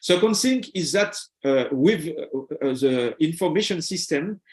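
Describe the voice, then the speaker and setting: A man answers questions in a discussion. His voice is fairly high for a man and rings in an echoey room. He feels his way through sentences, pausing to find each word. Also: animated, a little mellow. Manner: halting, searching for words. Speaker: panel guest.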